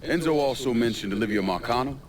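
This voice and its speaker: A man's voice: mid-range voice, fast, crowd noise, close to an everyday voice. A man playing a stern, determined crime boss in a video game cutscene, speaking tersely and firmly. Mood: grim, matter-of-fact. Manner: firm, terse, matter-of-fact.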